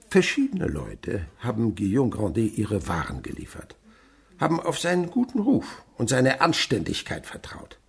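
A man's voice: mid-range voice, creaky, slightly rough, exaggerated voice. An actor playing a miserly, callous old father in a German radio play, blunt, scornful and domineering. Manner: blunt, scornful, lecturing, abrupt swings in delivery.

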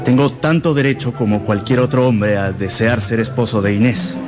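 A man's voice: mid-range voice, moderate pace, lightly acted. A man playing a scheming clerk in a radio drama, oily and falsely polite with menace underneath. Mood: insolent, threatening. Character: scheming, insolent, oily male clerk, a villain. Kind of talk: Spanish-language radio drama acting. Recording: music behind the voice.

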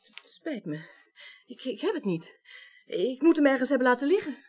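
An actress playing an evasive, flustered young woman suspect in a radio drama, halting and repeating words defensively. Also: high-pitched, very animated, husky, exaggerated voice. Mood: nervous, cooperative. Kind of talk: 1980s Dutch radio drama acting.

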